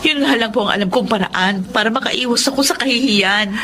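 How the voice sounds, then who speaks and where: Self-centred defiant schemer, radio drama, piercing bright voice, faint background noise. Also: female voice, fairly high pitch, brisk. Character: selfish, scheming young bride-to-be. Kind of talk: Tagalog radio drama acting.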